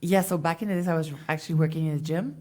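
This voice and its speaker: Low-voiced woman. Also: fairly even intonation, rapid-fire, darkish tone. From Montreal, Canada. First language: French. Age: 20s. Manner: chatty storytelling with many fillers. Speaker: interview guest, bikini competitor. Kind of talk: casual interview talk.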